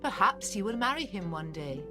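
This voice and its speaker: Actress noble mother, storybook drama, measured, coaxing, mid-range voice, clear background music. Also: medium pitch, sing-song, lightly acted. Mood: persuasive. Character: noble mother gently urging daughter toward marriage.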